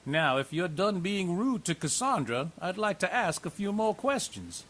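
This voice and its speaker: A man playing a folksy, gently joking investigator who reassures a young witness. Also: high voice, big pitch swings, moderate pace, slight background noise. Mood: reassuring.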